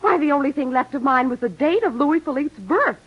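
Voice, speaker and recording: A woman's voice: high-pitched, expressive, fairly quick. An actress playing an indignant young woman author, cutting and theatrical, in old-time radio acting. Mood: furious. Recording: dull old radio recording.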